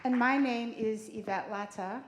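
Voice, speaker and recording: A woman's voice: a little high, normal speed, some chatter behind. A woman from Zimbabwe hosting a church seminar, introducing board members and laying out the agenda in an organized way. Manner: warm, organized, introduces speakers and lays out the agenda. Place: church hall.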